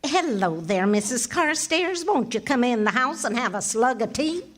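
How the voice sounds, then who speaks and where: Woman playing lively, wry radio comedy wife. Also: fairly high pitch, normal speed. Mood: sociable. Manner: wry, teasing, folksy quips. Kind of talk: 1940s radio comedy acting.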